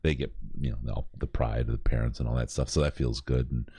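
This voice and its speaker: A man's voice: very deep voice, fairly even intonation, a little fast, warm tone, breathy edge, no echo. A middle-aged man from Michigan with a Michigan accent, hosting a podcast in casual, rambling chat with lots of restarts. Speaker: podcast host. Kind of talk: casual charity stream chat.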